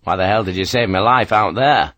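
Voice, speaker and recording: A man's voice: lowish voice, a little fast, fairly bright tone, dry recording, lightly acted. A man doing a hot-tempered British officer. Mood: curt. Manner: curt, swearing, clipped retorts. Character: weary, hot-tempered British rifle lieutenant.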